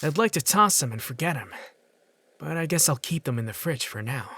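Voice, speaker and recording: A man's voice: fairly high pitch, lively intonation, a little fast, pushed and bright, breathy edge, heavily acted. A man playing a weary, wry teenage boy who narrates his night in a visual novel fan dub, dry and matter-of-fact. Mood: tired, matter-of-fact.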